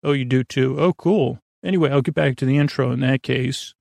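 A man's voice: mid-range voice, brisk, creaky, darkish tone, no echo. A man hosting a bedtime podcast, rambling in a friendly, meandering way, often trailing off and restarting. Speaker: podcast host.

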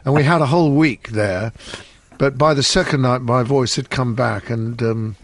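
A man's voice: medium pitch, normal speed, no room sound. Wry older man telling stories. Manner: chatty, wry storyteller. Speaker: musician guest. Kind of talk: anecdotal conversation.

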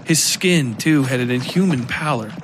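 A man narrating scripted dark horror fiction as a podcast storyteller. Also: medium pitch, animated, moderate pace, noisy background.

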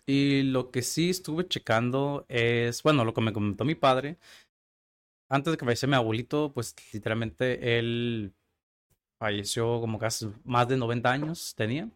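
A man's voice: mid-range voice, moderate pace, clear, resonant tone. A young man from Tijuana speaks. He answers as an interview guest in a loose, chatty way, with plenty of fillers and easy laughs. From Tijuana, Mexico. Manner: relaxed, chatty, laughs easily, filler-heavy. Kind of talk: casual interview chat.

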